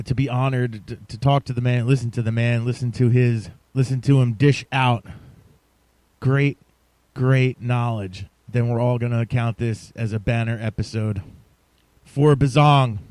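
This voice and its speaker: A man's voice: mid-range voice, a little mellow, dry recording. Effusive male podcast host, very dry sound. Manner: effusive, showman-like, addresses listeners directly. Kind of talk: enthusiastic podcast introduction and interview.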